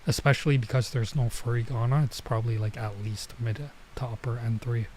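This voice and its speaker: Mellow, informal male gaming streamer. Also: mid-range voice, steady tone, slight background noise. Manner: informal, halting, mutters to himself while translating. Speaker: streamer playing a visual novel. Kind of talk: casual let's play commentary, reading dialogue aloud.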